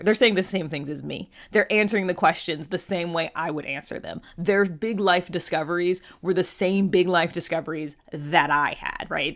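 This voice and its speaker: A woman giving a casual, unscripted explainer as a host, conversational, often checking in with the listener and voicing imagined quotes. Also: lowish voice. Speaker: host of a personality typology show.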